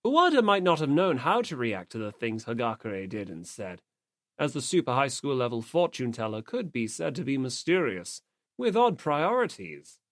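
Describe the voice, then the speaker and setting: A woman's voice: rumbling low, sing-song, moderate pace, dry recording, studio quality. A woman reading scripted story narration as an audiobook narrator.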